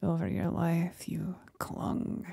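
Seductive demon for ASMR roleplay, played by a woman: husky breathy whisper, creaky low edge, manipulative and mocking. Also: flat delivery, dark tone, dry recording, studio quality, heavily acted. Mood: tempting. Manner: slow, breathy whispering with deliberate pauses.

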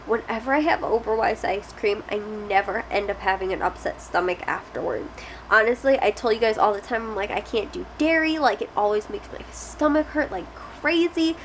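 A woman's voice: mid-range voice, moderate pace, a little hiss or hum, low-quality recording. A young adult woman vlogging casually to a close camera in a small bedroom, rambling with lots of fillers and restarts. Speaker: family vlogger. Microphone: close camera at face height.

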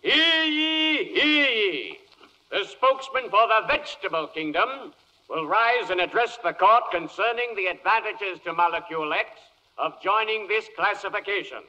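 An officious clerk of the court calls out proclamations in an old radio comedy. The voice is high and extremely sharp. The delivery is formal and declamatory. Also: male voice, exaggerated voice, poor audio.

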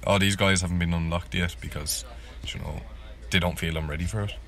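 A man with a deep voice hosts a gameplay video. He talks casually and live, poking fun at his own skills and repeating himself when flustered. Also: fairly even intonation, a little fast, creaky, a bit bright. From Ireland. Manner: self-deprecating, repeats words when flustered. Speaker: gaming video host.

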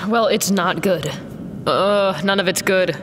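A woman's voice: medium pitch, measured pace, natural voice. Actress as blunt doubting sci-fi squad woman, echoey hall, noisy background. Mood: skeptical. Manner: blunt, questioning. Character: blunt, skeptical female squad member questioning a teammate. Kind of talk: audio drama acting.

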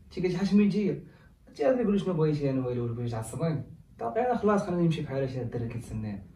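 An actor playing a bossy, short-tempered older brother, speaking with a Moroccan accent in a brusque, scolding way. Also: male voice, fairly high pitch, big pitch swings, rapid-fire, mellow, far from the mic. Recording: roomy echo, mic set back.

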